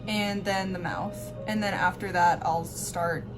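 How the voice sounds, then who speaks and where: A woman's voice: lowish voice, steady tone, unhurried, over music. A woman hosting a makeup tutorial in a casual, chatty style, with an offhand, self-deprecating and informal way of talking.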